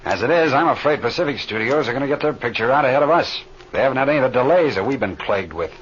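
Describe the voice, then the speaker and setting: A man plays a seasoned, steady flight leader in a vintage radio adventure. He talks quickly and folksily, with lively swings in pitch. The recording is muffled and faintly hissy. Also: close to an everyday voice. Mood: reassuring. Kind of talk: old-time radio drama acting.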